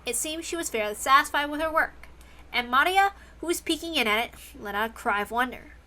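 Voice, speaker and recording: A woman's voice: sits high, expressive, fairly quick, pushed and bright. A woman reading a story aloud as scripted narration. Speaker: reader.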